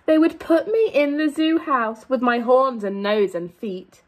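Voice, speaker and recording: A woman's voice: high-pitched, expressive, measured pace. A young woman reading a children's storybook aloud as a teacher, expressively, drawing out key words for emphasis. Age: young adult. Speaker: primary school teacher.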